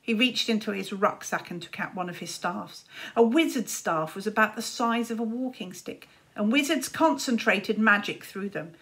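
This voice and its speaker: A woman's voice: medium pitch, normal speed, a bit bright. A woman, narrating a children's story expressively, like a teacher reading aloud.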